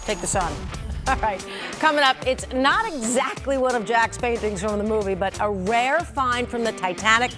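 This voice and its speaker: A woman's voice: on the high side, normal speed, music in the background. An American woman anchoring a live local newscast in an informal, chatty way. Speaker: TV news anchor.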